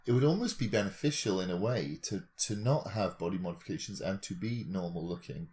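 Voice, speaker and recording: Deep-voiced man reasoning aloud. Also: expressive, fairly quick, a little mellow. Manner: analytical, reasons aloud with rhetorical questions. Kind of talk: casual speculative discussion.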